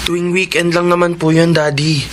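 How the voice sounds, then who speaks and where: Casting: idealistic young male med student played by a man, respectful and earnest. Lightish higher pitch, radio-play delivery, noticeable noise. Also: flat delivery, moderate pace, close to an everyday voice. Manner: earnest, polite. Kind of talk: radio drama acting.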